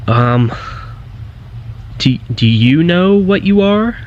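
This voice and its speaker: Muffled found-footage audio with loud ambient noise carries a man acting as a frightened explorer in an abandoned building. He speaks very slowly and haltingly, stammering through nervous questions in an analog horror style. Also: fairly high pitch, animated. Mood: nervous, hesitant.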